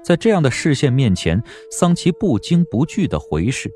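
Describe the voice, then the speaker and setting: A man's voice: medium pitch, big pitch swings, normal speed, darkish tone, soft background music. A man giving dramatic scripted narration for a historical audio drama, as an audiobook narrator.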